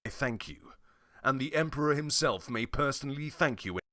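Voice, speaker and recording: Man acting stern imperial soldier, forceful and animated. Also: mid-range voice, moderate pace, no room sound, clean studio sound. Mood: solemn, grateful. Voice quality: breathy strain at phrase ends, popping P sounds. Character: stern, loyal male soldier of a fantasy empire. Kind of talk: amateur video game character audition.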